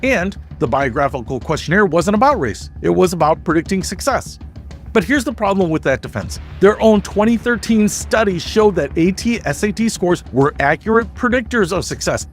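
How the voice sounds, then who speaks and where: A man delivering scripted explainer narration in an emphatic, measured way, setting out points one by one. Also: high voice, animated. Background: background music.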